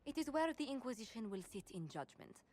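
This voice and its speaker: A woman playing a poised diplomatic advisor in a fantasy game, speaking in a measured, courtly and earnest manner. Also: fairly high pitch, big pitch swings, a little mellow, slight background noise. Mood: formal, measured. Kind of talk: video game dialogue.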